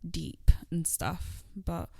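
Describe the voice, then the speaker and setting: A chatty woman hosting a podcast, talking casually and encouragingly with plenty of fillers. Her voice sits a little low and sounds warm and mellow. The recording is dry and close. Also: drawn-out words, creaky, slightly rough, no room sound. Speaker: podcast host. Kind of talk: casual interview chat.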